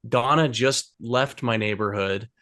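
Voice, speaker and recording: A man's voice: medium pitch, measured pace, a bit bright, no echo, clean studio sound. A man hosting a podcast, asking loose, rambling questions full of fillers in a casual chat. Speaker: podcast host.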